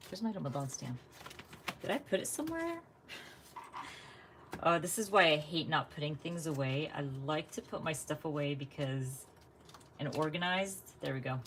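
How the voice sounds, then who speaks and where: A woman's voice: sits low, a little hiss or hum. A woman from the United States chatting casually while she crafts live, thinking aloud and laughing often. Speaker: craft vlogger.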